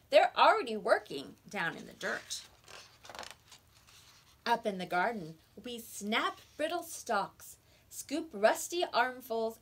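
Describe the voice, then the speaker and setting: A woman reading picture books aloud to young children like a teacher, with expressive emphasis on the words. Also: fairly high pitch.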